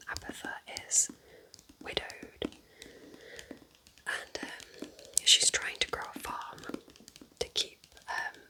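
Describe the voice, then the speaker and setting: An ASMR creator whispering book recommendations in a breathy, unvoiced voice, with a gentle, informal, chatty manner. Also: poor audio.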